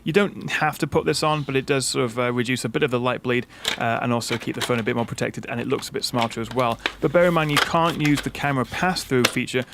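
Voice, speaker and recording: Fast-talking British man walking through a tech setup. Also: medium pitch, a little hiss or hum. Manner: chatty, frequent "uh" fillers. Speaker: tech channel host. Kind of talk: product setup walkthrough.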